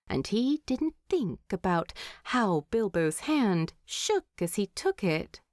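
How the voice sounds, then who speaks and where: Unhurried, expressive woman storyteller. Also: medium pitch, no room sound, studio quality. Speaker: narrator. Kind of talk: scripted story narration, expressive.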